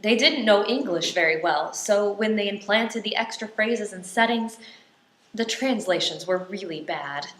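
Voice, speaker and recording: A woman's voice: mid-range voice, measured pace, fairly bright tone, lightly acted. A woman playing a firm, exasperated mother and secret spy in a radio play. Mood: explaining. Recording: echoey room.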